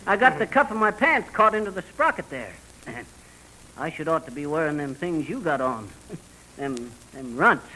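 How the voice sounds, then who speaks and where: A man's voice: fairly high pitch, sing-song, moderate pace, sharp tone. An actor playing a boastful, bumbling middle-aged man in a 1940s radio comedy, folksy and slangy. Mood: boastful, cocky. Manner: folksy slangy bragging with chuckles. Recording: noisy vintage 1940s radio recording.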